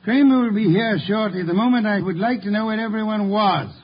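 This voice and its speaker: An actor playing a pompous, eccentric genius detective with dry, deliberate diction, in 1950s radio drama style. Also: male voice, high voice. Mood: imperious. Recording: muffled, noisy 1950s radio recording.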